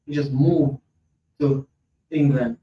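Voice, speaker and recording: A man's voice: mid-range voice, steady tone, takes it slowly, dark tone. A man, hosting a casual football podcast, thinking aloud, repeating himself and reading from his screen. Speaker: podcast host. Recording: roomy, lo-fi, mic at a distance, faint hum.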